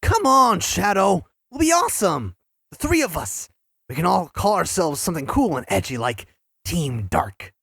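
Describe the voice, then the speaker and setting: A man playing an eager, cocky, youthful cartoon hedgehog hero in a fan audio drama, chatty and excitable. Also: high-pitched, moderate pace, creaky, breathy edge, no echo, clean studio sound, exaggerated voice. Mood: excited.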